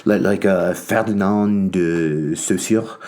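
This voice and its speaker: A man talking off the cuff in a rambling, halting way, full of fillers and restarts, with a wry self-mocking streak. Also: low voice, a little mellow. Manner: wry, laughs at himself. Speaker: commentator on books and ideas. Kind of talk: casual monologue.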